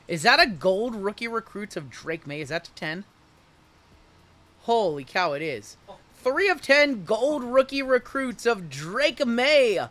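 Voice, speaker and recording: Man, late thirties, fairly high voice, bright and forceful tone, card break host, chatty and quick to name players. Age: 30s. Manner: chatty, rattles off player names and chats with viewers. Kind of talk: live card break stream patter.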